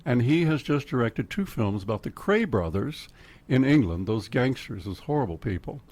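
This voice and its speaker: A man's voice: medium pitch, very animated, normal speed, a little mellow. An older man with an Oklahoma accent, telling rambling, chatty stories as a radio guest. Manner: rambling storyteller, laughs often, jumps between topics. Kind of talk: casual radio chat. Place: radio studio.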